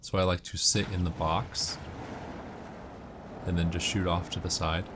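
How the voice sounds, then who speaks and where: A man doing casual video game walkthrough commentary, explaining tips in a measured way. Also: deep voice, flat delivery, background noise. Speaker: gamer giving walkthrough commentary.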